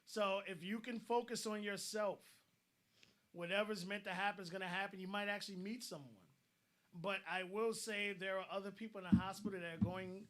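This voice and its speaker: A man's voice: high voice, steady tone, fairly quick, fairly bright tone. A man co-hosting a casual online discussion, giving blunt advice and often repeating words as he starts a thought.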